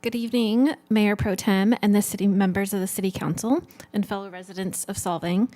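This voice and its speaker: A woman's voice: medium pitch, steady tone, brisk, dark tone, dry recording. A woman from California, head of a school foundation, earnestly reading a funding request to a city council. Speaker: education foundation director. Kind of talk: prepared public statement.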